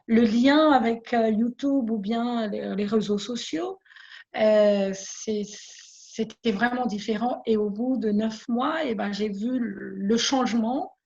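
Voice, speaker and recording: A woman's voice sitting a little high, with plenty of gravelly fry in it. She talks earnestly in a casual interview and often stumbles over repeated words as she searches for them. The recording sounds dull and lo-fi. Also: slow pace, darkish tone. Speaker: business owner, interviewee. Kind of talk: casual conversational testimony.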